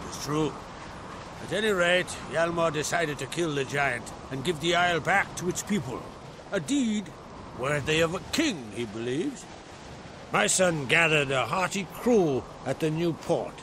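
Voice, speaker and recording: An actor playing an older island king and father in a video game cutscene, speaking in a steady, measured, paternal way. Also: on the high side, background noise. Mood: calm, paternal. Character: older jarl, father of two grown children. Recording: game audio with music behind.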